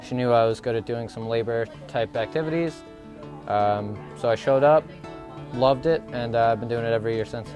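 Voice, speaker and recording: A man's voice: medium pitch, moderate pace, over music. A young man from Long Island, a student volunteer, talking casually with fillers. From Long Island, New York.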